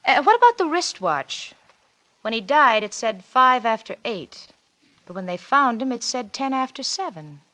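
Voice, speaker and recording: A scheming wife in a forties radio thriller. Her fairly high voice swoops theatrically, coy and teasing, as she insinuates and calmly angles for power. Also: moderate pace. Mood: coolly calculating. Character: cunning, coolly blackmailing wife angling for power. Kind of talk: 1940s radio suspense acting.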